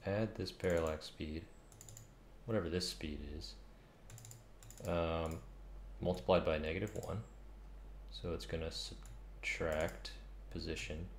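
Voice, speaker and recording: A man's voice: deep voice, fairly even intonation, slow pace, pauses often. A man in his thirties muttering hesitantly as he thinks aloud, casually coding on a stream. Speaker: game developer streaming. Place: indoor room at a computer. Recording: roomy with clear background noise. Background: keyboard typing and clicks.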